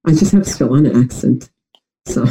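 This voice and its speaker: Mellow, nasal man with New York-like accent. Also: medium pitch, lively intonation, normal speed, a little hiss or hum, poor audio. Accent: New York-like American. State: congested from a recent illness. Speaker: interview guest. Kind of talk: personal storytelling in an interview.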